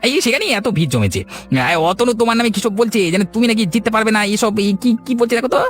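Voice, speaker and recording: A man's voice: very high-pitched, lively intonation, rapid-fire, fairly bright tone, soft background music. A man voicing an earnest village announcer in a cartoon, speaking in an emphatic public manner. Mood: explaining. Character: earnest village crier announcing fair news, older brother figure. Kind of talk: cartoon voice acting in a village comedy.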